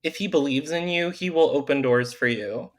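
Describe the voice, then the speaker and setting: A man's voice: a little high, moderate pace. A man being interviewed as an author, speaking earnestly and fondly in casual chat, with frequent fillers. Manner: earnest, warm-hearted, with fillers like "like" and "um". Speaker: author guest.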